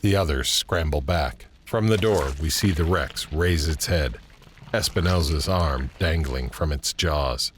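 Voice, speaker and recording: A man narrating a scripted audio drama in a measured, descriptive way. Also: very deep voice. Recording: background ambience and noise.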